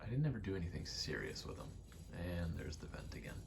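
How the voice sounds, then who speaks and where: A young adult man in a quiet, casual ASMR-style ramble, speaking candidly and hesitantly, often trailing off. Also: sits low, huge pitch swings, fast talker, vocal fry, noisy background. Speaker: video maker.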